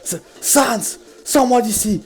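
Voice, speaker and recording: A man playing a frightened, bitter, proud young male creature in a fan comic dub, speaking in a dramatic, halting, stammering way. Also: very high voice, lively intonation, a little mellow, slightly rough, exaggerated voice. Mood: panicked.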